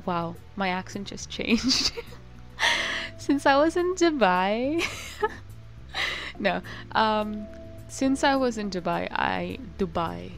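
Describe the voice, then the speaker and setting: A woman's voice: medium pitch, lively intonation, slow pace, vocal fry, long pauses, faint music behind. A young woman co-hosting a podcast in casual chat, giggly and rambling, breaking into playful rants. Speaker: podcast co-host.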